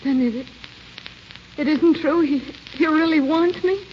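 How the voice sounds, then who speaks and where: An actress playing a passionate, devoted young niece in love, earnest and pleading, in an old radio drama. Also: female voice, sits high, takes it slowly. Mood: anguished hope. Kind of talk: 1940s radio drama acting. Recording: muffled, crackly old radio broadcast.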